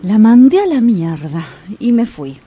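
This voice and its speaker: An actress playing a gullible, resentful longtime client of a fortune teller in a radio drama, with an Argentine accent and a confiding, dramatic way of telling things. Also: female voice, a little high, sing-song, dark tone, breathy edge, full character voice. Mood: indignant. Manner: confiding, dramatic storytelling with pauses.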